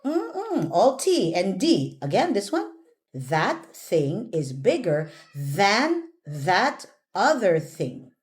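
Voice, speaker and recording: Woman, pronunciation teacher, unhurried pace, lively pitch, instructing and modelling words. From Philippines. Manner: instructing, repeating words slowly for the learner to copy. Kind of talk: one-on-one pronunciation coaching.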